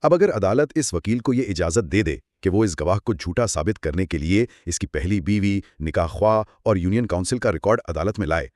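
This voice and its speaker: A man's voice: low-pitched, animated, a little fast, hardly any pauses, darkish tone, no echo, clean studio sound. A man co-hosting a conversational legal explainer, teaching through examples and rhetorical questions. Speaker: co-host explaining law.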